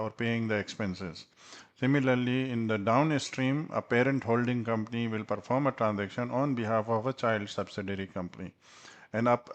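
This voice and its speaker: A man presenting a software tutorial as an instructor, speaking haltingly with frequent hesitations. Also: mid-range voice. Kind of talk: tutorial presentation over slides.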